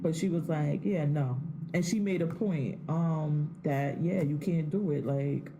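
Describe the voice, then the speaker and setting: Blunt, profane woman with a low, mellow voice. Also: a little slow, lo-fi sound, a little hiss or hum. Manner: blunt, profane, laughs often. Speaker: podcast co-host. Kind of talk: casual opinionated chat.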